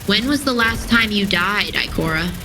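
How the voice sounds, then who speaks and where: A woman playing a grave, deliberate soldier in an audio drama who speaks about devotion and death. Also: medium pitch, lively intonation, close to an everyday voice. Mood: grave, pondering.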